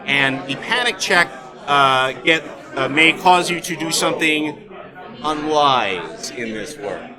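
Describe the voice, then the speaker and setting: A man running a live tabletop game as game master, explaining rules in halting phrases. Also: fairly high pitch, expressive. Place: live convention event, indoors. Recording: loud crowd noise behind.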